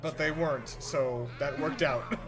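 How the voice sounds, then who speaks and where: A man's voice: on the high side, fairly even intonation, a little slow, over music. A man co-hosting a reaction show, giving casual, sarcastic commentary on a film.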